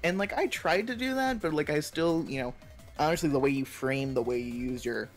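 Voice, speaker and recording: A young man chatting casually on a live stream, telling stories in a rambling, halting way full of filler words. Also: fairly high pitch, soft background music. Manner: rambling, halting storytelling peppered with 'like'. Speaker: stream co-host.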